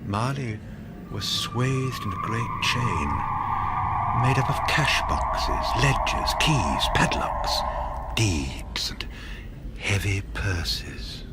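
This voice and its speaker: Radio drama narrator: man, measured and slow, highly dynamic intonation, suspenseful theatrical delivery. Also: animated, in a whisper. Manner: theatrical, suspenseful, sometimes hushed, sometimes booming.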